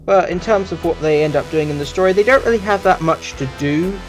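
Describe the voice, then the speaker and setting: Conversational, higher-voiced man reviewing. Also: a little fast, soft background music, poor audio. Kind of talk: informal audio drama review commentary.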